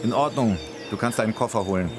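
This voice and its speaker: An actor playing a brusque, official border customs officer who is dryly obliging, in a radio play. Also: male voice, fairly low pitch, fast, echoey room, music in the background, lightly acted. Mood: dryly amused. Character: gruff but helpful male Swiss border customs officer.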